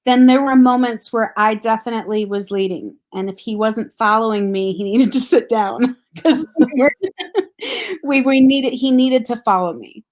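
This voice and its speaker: A middle-aged woman, a grief counselor, speaking thoughtfully and earnestly in a relaxed conversation, with a soft laugh now and then. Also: fairly high pitch, moderate pace. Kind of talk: reflective casual conversation.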